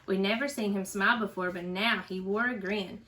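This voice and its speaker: A woman who teaches first grade, reading aloud to young children in an expressive, animated storybook manner. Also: mid-range voice, fairly quick, far from the mic.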